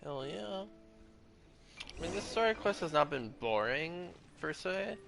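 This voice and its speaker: A young man streaming a game with casual reactions, speaking bluntly in slang and swearing, with a creaky voice. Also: a little high, sing-song, measured pace, over music.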